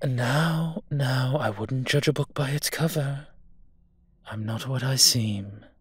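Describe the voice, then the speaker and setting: A man's voice: mid-range voice, takes it slowly, lightly acted. A man playing a cocky, menacing monster detective who protects a child in a roleplay audio drama, with taunting threats that drop into breathiness. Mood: calm, warning.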